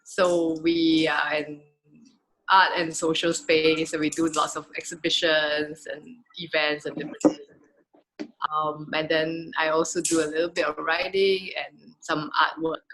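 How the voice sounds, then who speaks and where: Bright-voiced woman chatting informally. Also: sits low, level tone, measured pace, a little hiss or hum, low-quality recording. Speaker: artist and cultural worker. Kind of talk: casual introduction in an online conversation.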